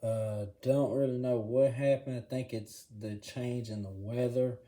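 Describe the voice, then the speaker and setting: A man hosting a casual Bible review video, his voice raspy and hoarse, often clearing his throat, and checking in with the viewer again and again. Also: medium pitch, measured pace. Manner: relaxed, filler-heavy, says "okay?" often. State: hoarse from congestion. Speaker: channel host reviewing a Bible.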